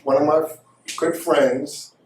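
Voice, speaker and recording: A man's voice: a little high, fairly even intonation, slow pace, a little hiss or hum. Casual American male storyteller, middle-aged, recorded in an echoey room at some distance from the mic. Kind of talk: casual first-person anecdote telling.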